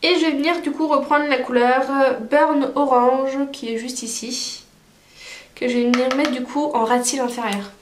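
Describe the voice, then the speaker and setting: A woman's voice: high voice, steady tone, normal speed, echoey room, a little hiss or hum. A woman vlogger with a cold, chatting casually through a makeup tutorial with many fillers. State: stuffy with a cold. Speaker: beauty vlogger.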